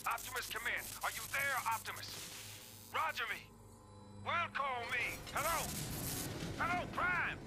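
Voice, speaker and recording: An actor voicing a brash robot soldier who talks in a quick, breezy, joking way in a game. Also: male voice, very high voice, lively intonation. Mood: urgent. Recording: game audio under music.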